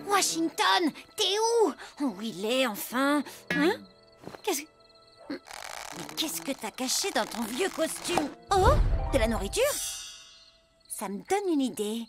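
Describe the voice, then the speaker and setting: A woman voicing a bossy, impatient, scornful cartoon animal with a sharp, sarcastic manner. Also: sits high. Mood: searching, impatient. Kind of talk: French cartoon dubbing.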